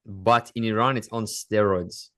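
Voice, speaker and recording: A man's voice: on the low side, fairly even intonation, a little slow, bright, forceful tone. A man co-hosting a casual podcast, asking probing questions with a teasing edge. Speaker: podcast co-host.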